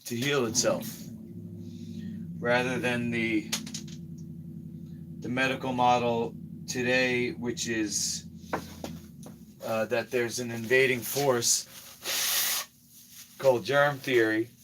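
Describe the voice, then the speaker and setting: A hoarse man talking casually in a slow, rambling health vlog, often trailing off quietly. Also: medium pitch, level tone, noisy background. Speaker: health vlogger.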